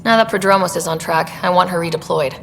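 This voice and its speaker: A woman playing a composed, supportive young space explorer in a video game, speaking in an earnest, measured way. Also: fairly low pitch, fairly bright tone. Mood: composed, businesslike. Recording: reverberant, faint background noise.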